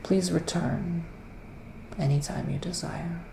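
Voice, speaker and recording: A man doing a soft-spoken, partly whispered ASMR roleplay as a psychic witch of the woods, with mystical, theatrical phrasing. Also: fairly high pitch, steady tone, slow, vocal fry. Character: psychic male witch living in the woods, giving readings. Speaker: ASMR performer playing a psychic reader. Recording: roomy echo with faint background noise.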